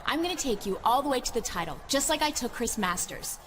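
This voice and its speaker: A woman's voice: a little high, lively intonation, quick pace, background noise, close to an everyday voice. An actress playing a flirty, scheming female wrestler who giggles as she talks.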